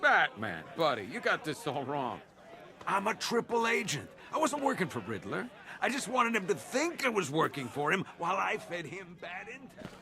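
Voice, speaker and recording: Casting: a whiny, pleading crooked policeman for a game, very high voice that leaps wildly. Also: sing-song, moderate pace, sharp tone, full character voice. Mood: wheedling. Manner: pleading, protesting, wheedling. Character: whiny corrupt cop pleading innocence after being caught. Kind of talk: video game voice acting.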